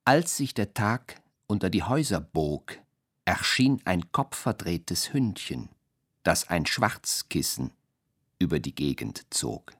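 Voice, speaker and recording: A man with a low voice recites poetry for a radio drama. He goes extremely slowly, in deliberate, halting phrases with wide swings of melody. The recording is close and dry. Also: very animated, a bit bright, no echo.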